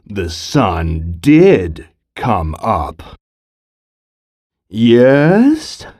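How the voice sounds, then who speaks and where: A woman voicing a big, grumpy, menacing male bear who growls his words slowly. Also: darkish tone, no echo, studio quality, heavily acted. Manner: low slow growling.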